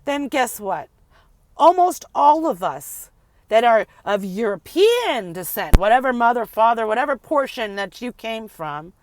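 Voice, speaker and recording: Rambling emphatic woman, smooth voice. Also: fairly high pitch, animated, unhurried. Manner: rambling, emphatic, repeats words for effect. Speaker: vlogger. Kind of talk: casual rant to camera.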